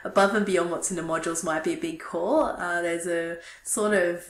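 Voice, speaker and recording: Woman lecturer, kinda hoarse and throaty, lowish voice, chatty with lots of ums, echoey room. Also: normal speed. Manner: relaxed, chatty with frequent ums. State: hoarse, losing her voice. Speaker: university lecturer. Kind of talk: recorded online lecture. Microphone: webcam at desk.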